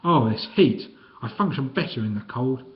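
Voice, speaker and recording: A man's voice: medium pitch, animated, normal speed, highs cut off, natural voice. A man voicing a huge, jolly old female ex-wrestler with a guttural voice. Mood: hearty.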